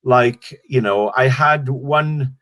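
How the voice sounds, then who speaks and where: A man's voice: medium pitch, slow pace, a bit bright. A middle-aged Welsh comedian chatting casually in an interview, rambling and restarting his sentences. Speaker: stand-up comedian guest.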